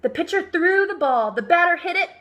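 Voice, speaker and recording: A woman reads a children's storybook aloud in a high, bright voice. Her delivery is expressive and emphatic, with lively, wide swings in pitch. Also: a little fast. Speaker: storybook reader. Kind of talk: animated children's read-aloud.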